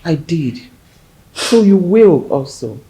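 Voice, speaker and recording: Woman acting as a widow, low voice, slow, gentle motherly comforting, faint noise. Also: lively intonation, rough recording. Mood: consoling. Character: widowed older woman consoling a grieving friend.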